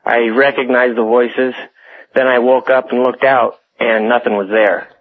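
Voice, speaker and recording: A young American man phoning a radio show to tell a personal story casually, in a halting, hesitant way over a telephone line. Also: medium pitch, flat delivery. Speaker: phone-in caller. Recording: tinny phone line on radio.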